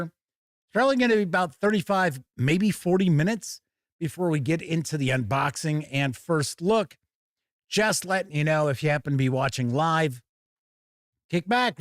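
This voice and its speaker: A man hosting a casual live tabletop gaming stream, a little raspy and hoarse from being under the weather, talking in a folksy, upbeat way. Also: a little high, no room sound, studio quality. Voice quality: slightly raspy. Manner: upbeat, folksy greetings, chatty. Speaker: host. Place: home studio.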